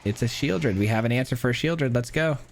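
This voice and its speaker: A man with a hoarse, cracking voice gives casual commentary on his own card game, counting aloud and thinking through his plays. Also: mid-range voice, brisk. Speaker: gameplay streamer. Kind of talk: casual live gameplay commentary.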